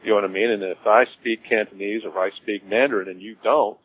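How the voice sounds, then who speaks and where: A man answering interview questions informally as a business executive, in a halting way, repeating words as he explains. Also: lowish voice, steady tone, no echo. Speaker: cemetery executive being interviewed. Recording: dull lo-fi audio with clear noise.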